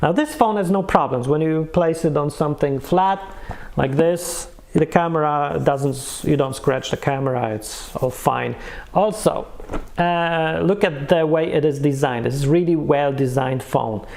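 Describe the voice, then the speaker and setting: Gravelly, higher-pitched man reviewing casually. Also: measured pace, a little mellow. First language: not English. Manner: hesitant, searching for words, self-correcting. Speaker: amateur product reviewer. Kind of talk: unscripted casual phone review.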